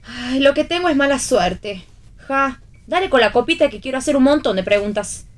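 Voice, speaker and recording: Romy, a high-voiced, bossy Argentine woman. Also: animated, normal speed. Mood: wry. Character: Romy, bossy, defiant, quick-tongued woman stung by love. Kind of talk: read radio theatre.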